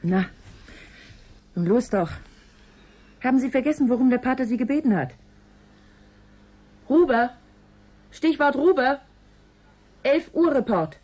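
An anxious, impatient woman waiting at a mission base. Her voice is slightly high yet mellow and husky, in radio drama style. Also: animated, measured pace, pauses often, exaggerated voice. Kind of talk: radio play acting.

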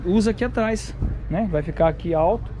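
A man's voice: sits high, brisk, warm tone. A man, hosting a casual walkaround of farm machinery and talking in a chatty way, with frequent tag questions and restarts. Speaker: channel host reviewing farm machinery. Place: outdoors at a farm machinery fair. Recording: noisy outdoor fair.

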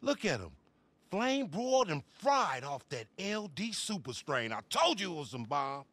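A man playing a brash, boastful, foul-mouthed young American gangster stoner in a video game cutscene, with loud slangy swagger. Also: sits high, very animated, unhurried, no room sound, exaggerated voice. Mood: cocky, dismissive. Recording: music behind the voice.

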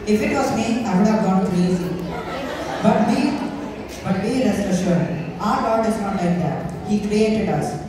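A woman's voice: mid-range voice, measured pace, lightly acted. A teenage girl playing a parish priest who preaches to the audience in an amateur church skit. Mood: preachy, earnest. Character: patient young parish priest preaching about prayer. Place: large church hall stage, filmed from audience. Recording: echoey hall from far off, noisy crowd.

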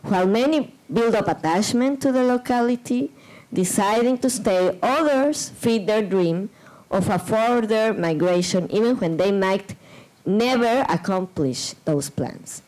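Woman panelist, middle pitch, reading a prepared paper slowly, halting restarts, desk mic in a lecture hall. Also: animated, darkish tone. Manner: measured reading with hesitant fillers and restarts. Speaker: conference panelist. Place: large lecture room. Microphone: desk microphone.

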